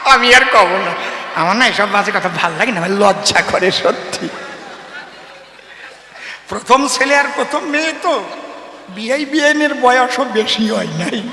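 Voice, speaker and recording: Male comic storyteller, high-pitched and teasing, echoey live PA sound. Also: pushed and bright. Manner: teasing, mock-bashful, addresses listeners directly. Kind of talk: humorous folk storytelling to an audience. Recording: echoey reverberant stage sound with faint noise.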